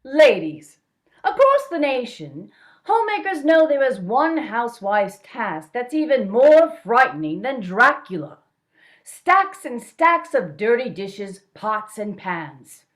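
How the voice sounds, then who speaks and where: An actress playing a polished film star giving a salesy, theatrical 1930s radio advertisement. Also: female voice, a little high, very animated, slow. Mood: poised, salesy.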